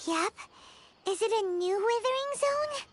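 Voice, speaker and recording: A woman voicing a tiny, childlike, inquisitive female game companion with a squeaky voice. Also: lively intonation, a little slow, soft background music. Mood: curious, earnest. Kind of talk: English game voice acting.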